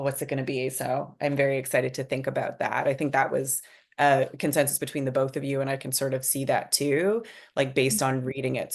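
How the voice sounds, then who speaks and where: Very low-voiced woman, informal and reflective. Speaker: screenwriter and director. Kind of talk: casual discussion after a script table read.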